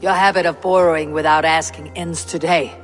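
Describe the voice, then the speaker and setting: A man playing a stern but fair Viking leader in a video game, speaking in measured, terse commands. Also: on the high side, steady tone, lightly acted. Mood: decisive. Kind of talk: video game cutscene acting. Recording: long hall reverb. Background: music.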